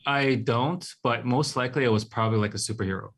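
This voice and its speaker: A man who grew up in Hawaii, talking casually about his life as an artist in a relaxed, rambling way full of fillers. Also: mid-range voice, quick pace. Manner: relaxed, rambling, many "like" and "you know" fillers. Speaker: artist guest. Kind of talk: casual interview chat.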